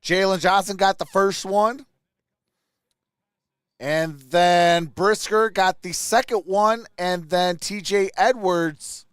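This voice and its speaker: High-voiced, unhurried man hosting a sports stream. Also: steady tone, fairly bright tone, clean tone, no room sound, studio quality. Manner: informal, chatty, reacting to viewers' comments. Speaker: sports livestream host. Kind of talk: casual live play-by-play and chat.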